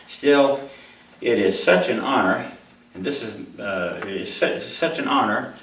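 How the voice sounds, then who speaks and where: Guy at a live reading, slow pace, kinda far from the mic in a roomy space. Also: mid-range voice, a bit bright, slight background noise. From Mobile, Alabama, USA. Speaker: writer reading his own short story. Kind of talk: live story reading to an audience, expressive. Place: indoor room at a live reading event. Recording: roomy, echoey hall, distant microphone.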